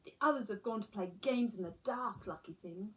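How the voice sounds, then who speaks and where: A woman's voice: mid-range voice, moderate pace, lightly acted, low-quality recording. A woman reading a storybook as a friendly little boy, enthusiastic and emphatic.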